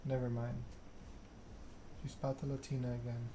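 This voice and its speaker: A man reading a story aloud in an ASMR narration style, measured and unhurried. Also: medium pitch, flat delivery. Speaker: video game streamer reading game text aloud. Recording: noticeable background noise.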